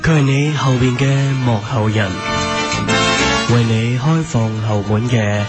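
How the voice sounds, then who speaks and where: A man's voice: mid-range voice, takes it slowly, natural voice. A man playing a mysterious, mock-grave announcer in a radio parody promo. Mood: mock-solemn. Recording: loud music over the voice.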